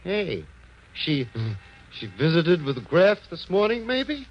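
An actor playing an eager, shifty small-time tipster in 1940s radio style, slangy, hesitant and ingratiating. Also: male voice, sits high, very animated, slow, heavily acted. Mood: sly, hesitant. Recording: muffled, noisy vintage radio recording.

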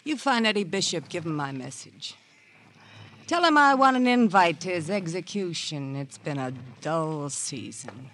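An actor playing a jilted, sardonic auction-shop worker in an American radio crime drama, with a theatrical, world-weary drawl and an American accent. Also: male voice, on the high side, animated, unhurried, a bit bright. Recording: noisy old radio drama recording.

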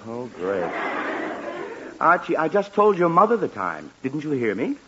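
Brief: exasperated radio-comedy father, hems and stammers, lively pitch; muffled vintage broadcast. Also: measured pace, noisy background. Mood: flustered. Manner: blustery, stammering, hemming. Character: flustered middle-aged father, easily exasperated. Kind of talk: 1940s radio acting.

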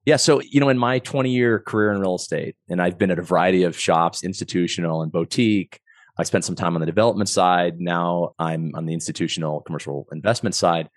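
A man in his forties with a cold, a podcast guest explaining real estate underwriting in a measured, analytical way. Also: low-pitched, dry recording, studio quality. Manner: measured, analytical, thinks aloud with restarts. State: has a cold. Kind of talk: explanatory conversation.

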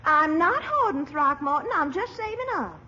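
High-voiced, coy Southern belle. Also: female voice, very animated, normal speed, bright, forceful tone, full character voice. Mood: wounded, huffy. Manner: gushing, genteel, dramatic. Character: coy, flirtatious, fluttery Southern belle, easily offended. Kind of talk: 1940s radio comedy acting. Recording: dull, hissy old radio recording.

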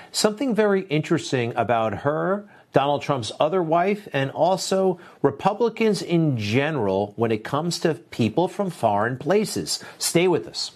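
A man's voice: medium pitch, a little hiss or hum. A man hosting a news show, giving opinionated commentary with sarcastic, dismissive asides.

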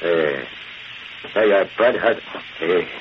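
A man's voice: sits low, animated, slow pace. An actor playing a nervous, defensive gangland henchman with slangy tough talk in a 1940s radio drama. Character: jittery male gangland henchman, cowed and defensive. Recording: muffled, noisy old radio recording.

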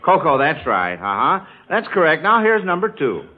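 A man's voice: a little high, wildly swooping pitch, moderate pace. A man hosting a children's radio quiz, encouraging his panel, often hesitating and repeating himself. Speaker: quiz show host. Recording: tinny narrow-band vintage radio broadcast.

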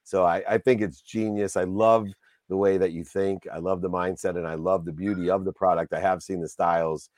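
Deep-voiced enthusiastic male host. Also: fairly even intonation, brisk, a little mellow, no echo, studio quality. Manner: enthusiastic, rambling, effusive praise. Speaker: podcast host. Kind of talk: casual interview chat.

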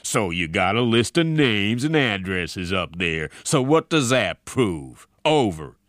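A man voicing a gruff, hot-tempered army general who barks blunt orders in an audiobook story. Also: on the low side, big pitch swings, slow, a bit bright, no room sound, clean studio sound, voice pushed up, heavily acted. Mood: angry, threatening. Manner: barking, blunt, commanding.

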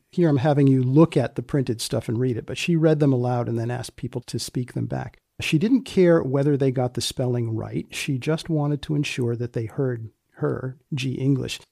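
A man hosting a podcast, narrating and asking questions in a deliberate, deadpan way. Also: mid-range voice, gravelly, no echo, studio quality. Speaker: podcast host. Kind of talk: conversational narration and interviewing.

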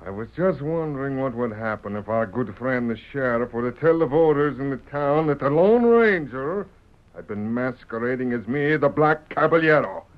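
A man's voice: medium pitch, lively intonation, close to an everyday voice. Smug outlaw mastermind played by a man, muffled broadcast. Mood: smug, scheming. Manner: deliberate, smug, scheming. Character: cunning, confident masked outlaw leader, smugly plotting villain. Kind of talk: old-time radio western acting. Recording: muffled old radio broadcast.

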